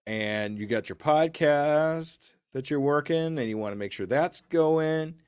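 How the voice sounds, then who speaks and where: A man's voice: fairly high pitch, measured pace, smooth, dry recording, studio quality. A man hosting a podcast interview in a casual, informal, slightly rambling conversational way.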